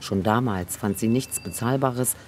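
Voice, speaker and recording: A man reading a scripted news report as voice-over, in a calm, even manner. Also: on the low side, unhurried.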